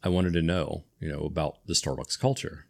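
A man's voice: very deep voice, steady tone, fairly quick, gravelly, darkish tone. A middle-aged man hosting a podcast, chatting casually and rambling with restarts and enthusiasm. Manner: rambling, self-correcting, enthusiastic. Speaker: podcast host. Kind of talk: casual chat.

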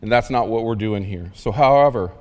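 A man preaching a sermon in a casual, emphatic way, with asides and quick questions to the congregation. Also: lowish voice, a little mellow, in a large hall, muffled recording. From Oklahoma. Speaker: pastor.

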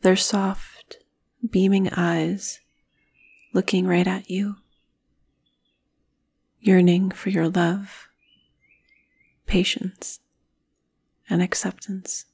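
A woman guiding a meditation in a soothing, breathy manner, with phrases often trailing off into a whisper. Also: fairly low pitch, little pitch movement, drawn-out words, pauses often, darkish tone. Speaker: meditation guide. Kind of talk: guided meditation narration.

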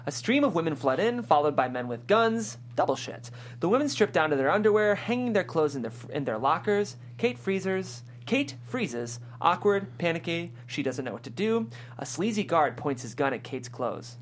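A woman's voice: lowish voice, sing-song, moderate pace, slight background noise. A woman narrator reading screenplay stage directions at a table read.

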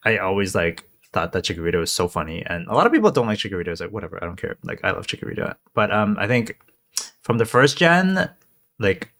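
A man's voice: on the low side, fast talker. A man from Hong Kong co-hosting a podcast, chatting casually with frequent laughs and offhand swearing. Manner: animated, giggly, swears casually. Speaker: podcast co-host. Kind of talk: casual chat.

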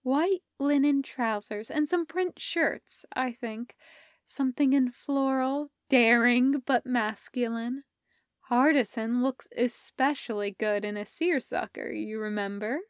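A woman's voice: fairly high pitch, slow, no echo, clean studio sound. A woman voicing a gracious, affectionate, fashion-savvy woman friend in an effusive, lilting, refined way for a story. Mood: musing, affectionate.

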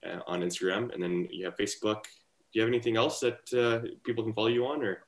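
A man with a lowish, flat voice chats casually as a podcast co-host. The sound is clearly roomy, with echo around his words. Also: fast talker. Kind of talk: casual interview chat.

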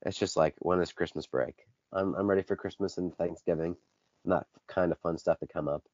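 A man's voice: low voice, fairly even intonation, a little fast, darkish tone, dry recording. A teenage boy co-hosting a casual sports podcast, a bit congested with a dry throat, rambling and correcting himself as he talks. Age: teens. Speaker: podcast co-host. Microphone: basic home podcast setup. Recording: dull lo-fi dry recording.

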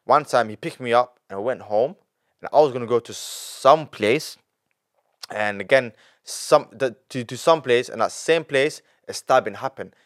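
A nineteen-year-old man, a podcast guest telling his story in an animated way, full of repetition and street slang. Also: medium pitch, moderate pace. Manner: animated, repeats himself, heavy street slang. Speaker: young entrepreneur guest.

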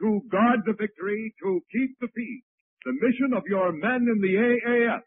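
A man's voice: high voice, normal speed, husky, no room sound, faint music behind. A man announcing a scripted military radio programme in a formal, declamatory 1940s style. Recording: tinny 1940s radio wire recording.

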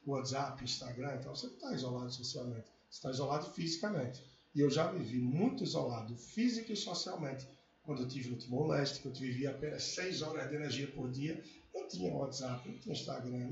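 A man's voice: mid-range voice, animated, fast, slight background noise. A man in casual conversation, talking reflectively and with animation about family, hobbies and life. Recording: muffled echoey room, faint ambience.

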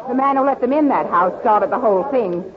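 A woman's voice: on the high side, fairly quick, lightly acted. An actress playing a spiteful, indignant neighbour woman in 1940s radio drama style. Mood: resentful, indignant. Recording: dull 1944 recording with crowd behind.

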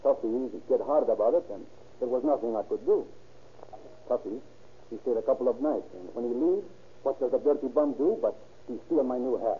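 Actor as indignant petty crook, tinny old radio. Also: male voice, mid-range voice, lively intonation, brisk, slight background noise, close to an everyday voice. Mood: pleading, exasperated. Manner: indignant, broken-grammar streetwise speech. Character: excitable male petty crook pleading his innocence. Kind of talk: 1940s radio crime drama acting.

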